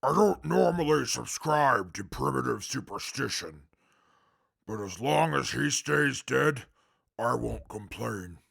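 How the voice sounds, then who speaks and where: Male actor as imperious, scornful ex-scout for radio drama: rough-edged, bright and forceful, short fuse. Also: medium pitch, lively intonation, takes it slowly, exaggerated voice. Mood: wary. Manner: haughty, scornful, formal wording. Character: arrogant, short-tempered, imperious male former wasteland scout. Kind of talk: post-apocalyptic audio drama acting.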